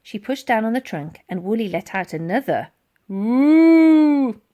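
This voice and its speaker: A woman reading a children's storybook aloud as scripted, expressive narration. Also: mid-range voice, fairly quick, narrow, tinny audio. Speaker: storybook reader.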